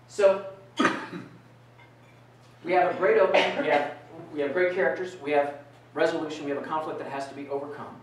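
Middle-aged guy giving a keynote, bit high voice, heard from across an echoey room. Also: a little fast, pauses often, distant mic. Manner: animated, asks the audience questions. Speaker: keynote speaker. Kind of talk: interactive keynote talk. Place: conference room with projector screen, indoors. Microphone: far camera, no mic.

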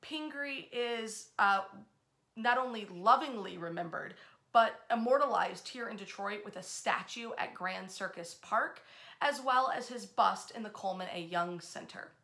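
A woman in her thirties hosting informal history storytelling to camera, with emphatic, expressive delivery. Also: medium pitch, measured pace. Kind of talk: informal storytelling to camera, part read aloud. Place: small bedroom, indoors.

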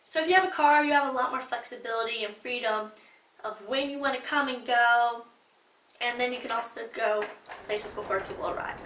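A young woman sits on a couch in a small room, chatting to the camera and gesturing as she gives travel tips. Her voice is bright and on the high side. The sound is roomy, picked up by a camera a short way off. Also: brisk, far from the mic, a little hiss or hum. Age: young adult. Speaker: travel vlogger. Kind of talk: seated vlog commentary. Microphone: camera a little way off.

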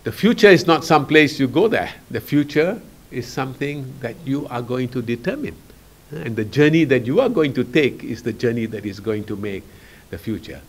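A man's voice: mid-range voice, expressive, normal speed. An older man speaks into a podium microphone, addressing a room of young people. His delivery is emphatic, swelling and dropping for effect. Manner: emphatic, rising and falling for effect. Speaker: speaker addressing a youth conference. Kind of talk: speech to an audience. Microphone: stand microphone at a podium.

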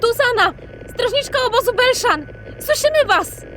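A woman playing a tense, urgent female technician in a fantasy audio drama, strained and excitable. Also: extremely high pitch, moderate pace, slight background noise, low-quality recording. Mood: urgent, shouting.

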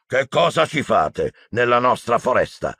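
A man's voice: lowish voice, sing-song, measured pace, creaky, a bit bright, no room sound, studio quality, voice pushed up, heavily acted. A man reading aloud and voicing a proud, angry male centaur leader who speaks in a stern, scornful way.

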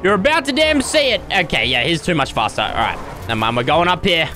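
A young man commentating his own gameplay, loud and dramatic, with squealing yelps and groans, his voice starting to go hoarse. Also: on the high side, big pitch swings, quick pace, soft background music. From Australia. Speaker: gaming YouTuber.

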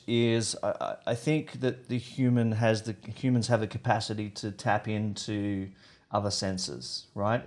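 A man in his forties hosting a podcast interview in a studio, talking loosely with many fillers. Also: medium pitch. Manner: relaxed, rambling with fillers. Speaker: podcast host. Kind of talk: casual interview. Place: podcast studio with wooden wall and plants. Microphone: studio mic on boom arm.

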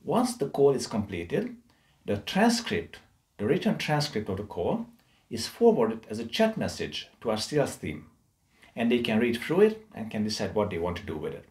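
A middle-aged man presenting a software tutorial in a deliberate, explanatory way. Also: lowish voice, huge pitch swings. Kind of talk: scripted software tutorial narration.

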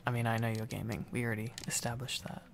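A young man doing a boyfriend ASMR roleplay, clingy, teasing and silly, rambling playfully with halting repetitions. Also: medium pitch, little pitch movement, vocal fry, slight background noise.

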